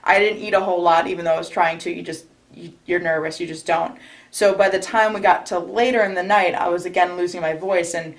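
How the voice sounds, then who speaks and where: A woman's voice: on the low side, steady tone, brisk. A young woman, a recent bride from New York state, giving casual interview advice in a chatty way with frequent restarts. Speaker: recently married bride, guest.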